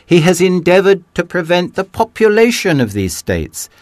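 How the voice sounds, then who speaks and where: A man hosting his own show, reading a founding document aloud in a measured, formal way, letting his voice fall low at the end of each sentence. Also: sing-song, no room sound.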